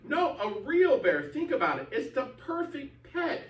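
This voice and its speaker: A man's voice: very high-pitched, unhurried, a little hiss or hum. A man teaching a reading lesson, prompting readers with encouragement and reading aloud expressively. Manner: encouraging, prompting, animated when reading out parts. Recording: roomy, echoey, picked up from a distance.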